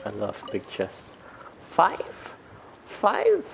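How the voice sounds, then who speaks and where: A man's voice: fairly high pitch, huge pitch swings, drawn-out words, creaky, a little hiss or hum, low-quality recording. A man livestreaming a game, reacting casually to what happens as he plays.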